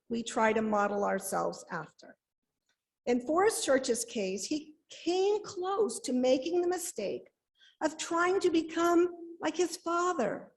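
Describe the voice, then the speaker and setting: A woman delivers a sermon from a prepared text. Her voice sits a little high, and her pace is very slow. She moves through the script in measured phrases, giving each one its own space. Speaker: lay reader delivering a written sermon. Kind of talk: sermon read aloud from a script.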